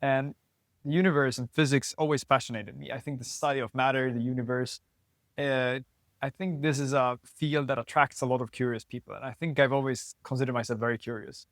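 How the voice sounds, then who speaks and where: A man in his twenties from northern Sweden, a physics PhD student, calmly explaining his research in an interview. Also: medium pitch, brisk, no echo, clean studio sound. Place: lab building at a physics facility.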